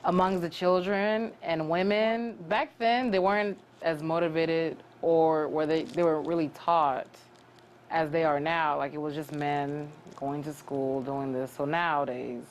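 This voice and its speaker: A young woman from Cameroon, a graduate student, answering interview questions earnestly with many pauses and restarts. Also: sits low, fairly even intonation, slight background noise.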